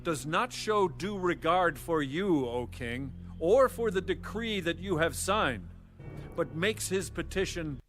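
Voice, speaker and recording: A man's voice: fairly high pitch, expressive, takes it slowly, fairly bright tone, soft background music. An actor playing an accusing male court official, formal and pointed, in an audio Bible drama.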